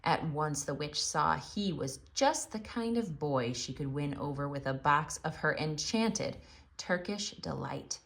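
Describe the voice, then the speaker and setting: A woman narrating a children's story theatrically, with expressive, dramatic emphasis. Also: sits low, measured pace, gravelly.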